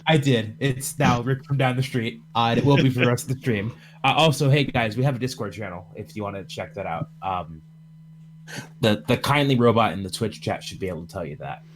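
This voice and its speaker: A man's voice: medium pitch, fairly quick, rough recording. A man hosting a livestream podcast over computer voice chat, chatting casually in a rambling, jokey way. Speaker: podcast host.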